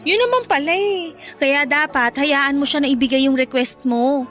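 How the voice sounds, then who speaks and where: Very high-voiced teasing Filipino best friend. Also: female voice, normal speed, fairly bright tone, faint music behind. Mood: frank advice. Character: Denise, frank, teasing young woman, caring best friend. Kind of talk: Filipino radio drama dialogue.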